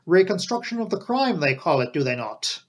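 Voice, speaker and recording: A man reading an audiobook, voicing an imperturbable, dignified male butler who is deferential, measured and formal. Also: a little high, big pitch swings, husky. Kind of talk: audiobook character dialogue.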